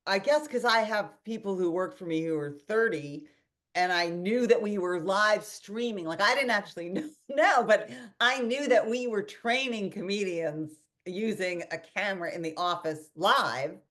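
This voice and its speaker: A woman's voice: medium pitch, a little slow, a bit bright. A woman hosting a webinar conversation, telling a story animatedly with restarts and quoted asides, over a video call. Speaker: host and company founder.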